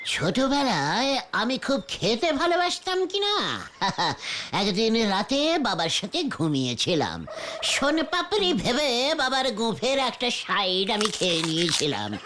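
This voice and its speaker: A performer voicing an excitable, melodramatic comic uncle in a cartoon, theatrical and boastful. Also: medium pitch, noisy background. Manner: theatrical wailing, exclaiming and chuckling storytelling. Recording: loud music behind the voice.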